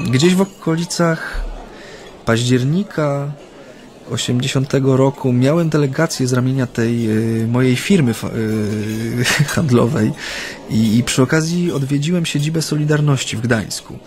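An actor playing an earnest Japanese man recalling his activist past in a radio play, with a reflective, halting delivery. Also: mid-range voice, a little mellow, lightly acted. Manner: hesitant, reflective, with frequent hums and pauses. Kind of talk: radio drama, conversational recollection.

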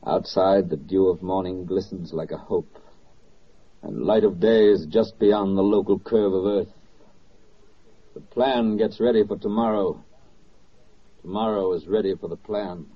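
An actor playing a solemn narrator at prayer in a 1940s radio drama, declaiming in reverent, measured phrases. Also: male voice, sits low, fairly even intonation, husky. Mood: hushed solemn. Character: solemn male narrator praying over a war's end. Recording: muffled vintage 1940s radio recording. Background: orchestral score.

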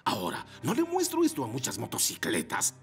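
An actor voicing a proud, boastful old storytelling motorcycle in a cartoon, with a gravelly, theatrical delivery and a Latin American Spanish accent. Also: mid-range voice, wildly swooping pitch. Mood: nostalgic. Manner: theatrical, drawn-out emphasis. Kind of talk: animated children's cartoon dub.

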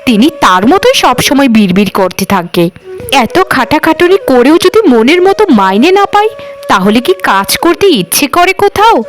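A woman's voice: sits high, big pitch swings, normal speed. A woman voicing a stubborn but caring young housemaid in a cartoon, with an argumentative manner. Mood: fed up. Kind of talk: cartoon voice acting.

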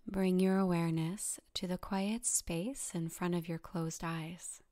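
A woman softly guiding a meditation in a breathy, half-whispered voice, calm and soothing, with gentle instructions and long pauses. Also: fairly low pitch. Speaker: yoga nidra meditation guide. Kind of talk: guided meditation narration.

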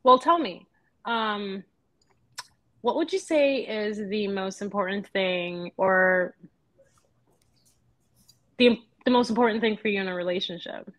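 A woman in her thirties from Nigeria, questioning a date on a video call with a brisk manner. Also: medium pitch. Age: 30s. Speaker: dating show contestant. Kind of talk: casual video call chat.

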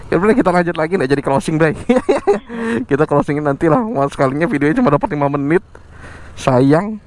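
A man riding a motorbike at night, chatting casually to viewers and his passenger in a joking, relaxed way. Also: on the high side, fairly quick. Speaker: motovlogger. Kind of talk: casual riding vlog chat. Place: on a motorbike on night city streets. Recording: faint road noise from a helmet camera.

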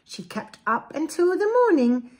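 Preschool storyteller: English woman, fairly high voice, very unhurried, expressive picture-book reading. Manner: expressive, deliberate, with simple explanatory asides. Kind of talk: reading a rhyming picture book aloud to young children. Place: small indoor room with bookshelves. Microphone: camera a short distance away.

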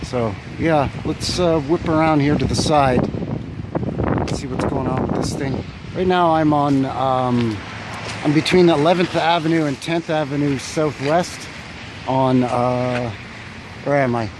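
A man's voice: fairly high pitch, measured pace. A man walking outdoors with a handheld phone camera on a windy winter street, thinking aloud about an old building with many fillers and unsure asides. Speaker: vlogger exploring old buildings. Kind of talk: casual walking narration. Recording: wind and street noise behind.

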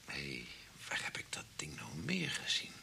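An actor playing a pedantic, self-important professor, formal and fussy, in an old Dutch radio drama. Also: male voice, rumbling low, wildly swooping pitch, measured pace, vocal fry, pushed and bright, whispered, heavily acted. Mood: musing, formal. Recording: hissy old radio recording.

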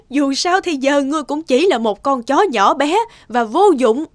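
A wicked young fairy tale queen, speaks in a high voice. She is haughty and sly, with theatrical cartoon cruelty. Music plays behind her. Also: expressive, slow pace, fairly bright tone, no room sound, studio quality, full character voice. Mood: cruel, triumphant. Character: scheming, cruel young queen plotting against the king.

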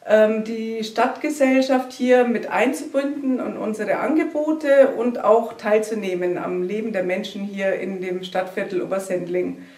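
A woman giving a measured, formal presentation of a social organization to camera. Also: mid-range voice, darkish tone. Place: room in front of a banner. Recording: echoey room, mic at a distance.

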